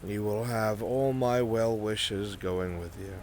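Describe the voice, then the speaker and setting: Man playing a deep, slow, cryptic sage. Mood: ominous, measured. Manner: solemn, measured, portentous. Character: cryptic, patient dark male sage giving ominous counsel. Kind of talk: improvised fantasy roleplay in VR.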